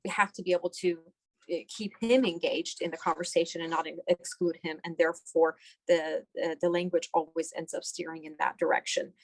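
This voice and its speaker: A woman's voice: fairly low pitch, fairly even intonation, fairly quick, no room sound, low-quality recording. Middle-aged woman, doctor interviewee, conversational, explanatory, repeats phrases for emphasis, dry sound.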